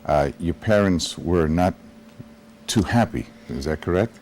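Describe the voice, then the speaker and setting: A man's voice: sits low, expressive, measured pace, a little mellow. A man hosting a live community TV show, interviewing a guest and signing off with friendly filler-laden talk. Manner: friendly, many fillers and restarts. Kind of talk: live studio interview and sign-off. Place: TV studio.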